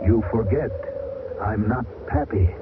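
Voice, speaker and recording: An actor playing an Air Force officer who has turned into something else, speaking calmly and with quiet menace, in a 1970s radio drama. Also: male voice, lowish voice, measured pace, natural voice. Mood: eerie calm. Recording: tinny old radio broadcast, loud background noise.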